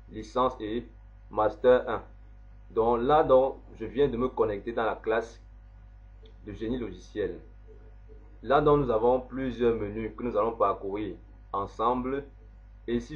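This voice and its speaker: A man, slowly walking through a software setup step by step as an instructor. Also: medium pitch. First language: French. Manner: slow, step-by-step explaining. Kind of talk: screen-recorded software tutorial. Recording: dull lo-fi voice recording.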